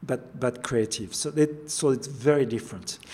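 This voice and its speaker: A man's voice: mid-range voice, normal speed, dark tone, husky. An older man with a French accent, a chef answering interview questions, often repeating words as he searches for phrasing. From France. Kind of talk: interview conversation.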